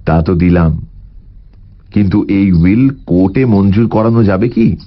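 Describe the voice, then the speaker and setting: A man playing a composed detective who explains a case in a measured, deliberate way in an audio story. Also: deep voice, fairly even intonation. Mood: wry. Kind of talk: Bengali audio story acting. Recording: dull audio under clear music.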